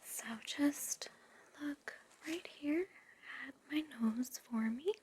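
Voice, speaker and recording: A gentle, attentive nurse, played by a woman, whispers breathily in a medical ASMR roleplay. The delivery is very unhurried and reassuring, in a lightly high register. Faint ambient noise sits behind the voice. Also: lively intonation. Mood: gentle, soothing. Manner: slow, soothing, reassuring. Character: gentle, soothing, attentive female recovery nurse. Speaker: ASMR performer.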